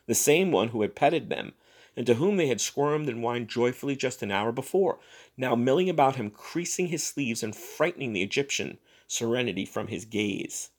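Male narrator, medium pitch, dramatic literary reading, deliberate and expressive storytelling. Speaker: story reader.

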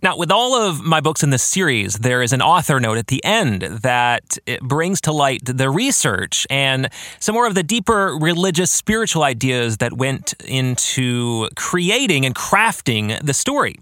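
A man hosting a podcast solo, speaking in an enthusiastic, deliberate, emphatic way with casual asides. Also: medium pitch, sing-song, a bit bright, no room sound, clean studio sound. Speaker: podcast host and novelist. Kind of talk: scripted-sounding podcast monologue with casual asides.

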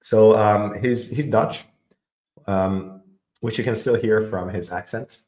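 A man with a low, deep voice chats casually about tech, stumbling over his words and filling gaps with ums. His voice rings around a roomy, echoey space. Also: slow, a little mellow. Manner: hesitant, many fillers and repeated words. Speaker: podcast host. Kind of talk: casual technical discussion. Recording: echoey room.

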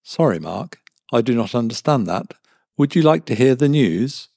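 A man's voice: medium pitch, creaky, no room sound, studio quality, voice pushed up. A man voicing a cold watchful smart-speaker AI. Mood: cold, polite. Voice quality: flat, measured, polite yet menacing.

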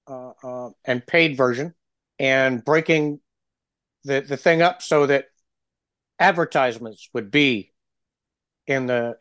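Male podcast host, congested and froggy, relaxed conversational questioner, dry close sound. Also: mid-range voice, dry recording. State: stuffy, froggy from cold medicine. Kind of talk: casual interview chat.

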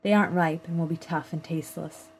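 A woman reading a first-person literary short story aloud in a measured, plain storytelling manner. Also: deep voice, fairly even intonation. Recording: clear background noise.

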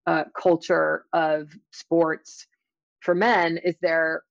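Creaky-voiced woman explaining science carefully. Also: sits low, little pitch movement, no echo. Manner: careful, qualifying, measured explanations. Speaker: academic guest, science author. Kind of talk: explanatory interview conversation. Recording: dull, slightly lo-fi dry audio.

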